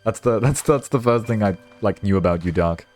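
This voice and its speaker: Casual young man streamer, low voice. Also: expressive, fairly quick, darkish tone, soft background music. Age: 20s. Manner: rambling, casually profane, stumbles over words. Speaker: live streamer. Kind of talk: casual live-stream chat, reading viewer messages.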